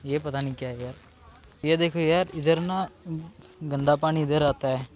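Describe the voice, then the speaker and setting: A man's voice: on the high side, darkish tone. A man, chatting casually and chummily to viewers as he vlogs outdoors on a handheld camera. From Punjab, India. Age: 20s. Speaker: vlogger. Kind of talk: casual vlog chat to camera. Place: outdoors in an open school ground and park. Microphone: handheld camera at arm's length.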